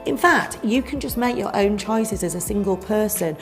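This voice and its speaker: A woman's voice: medium pitch, animated, moderate pace. Woman coach with music behind her. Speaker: relationship coach promoting a seminar. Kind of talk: informal explanatory promotional talk.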